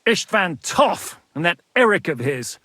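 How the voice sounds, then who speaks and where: An actor playing a brisk, rough-tongued medieval rebel officer in a video game, blunt and authoritative. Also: male voice, high-pitched, sing-song, sharp tone, dry recording, studio quality, exaggerated voice. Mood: frank, grim. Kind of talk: video game cutscene acting.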